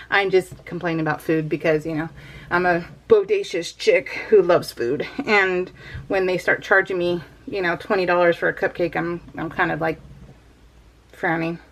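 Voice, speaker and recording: A woman's voice: medium pitch, poor audio. Chatty woman who laughs a lot. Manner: chatty, rambling, laughs often. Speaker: scrapbooking vlogger. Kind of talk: casual voiced-over craft chat.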